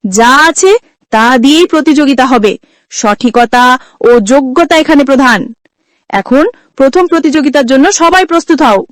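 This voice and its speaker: Woman playing a firm, high-voiced mother-in-law. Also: normal speed, long pauses, dry recording, close to an everyday voice. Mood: firm, commanding. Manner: firm, instructive. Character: fair-minded, authoritative older mother-in-law setting contest rules.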